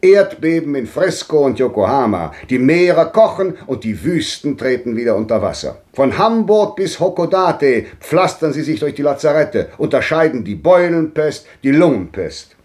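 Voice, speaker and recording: An actor playing a grandly bitter old man in a 1950s radio drama, declaiming with sweeping swings between loud and hushed. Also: fairly high pitch, very animated, moderate pace, few pauses. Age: older.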